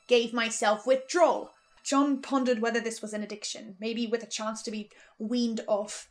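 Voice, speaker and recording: A woman playing a troubled, self-doubting broadcaster, delivering an intense, halting dramatic monologue in which she keeps correcting herself. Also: on the high side, natural voice. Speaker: broadcaster reacting to a fiction podcast.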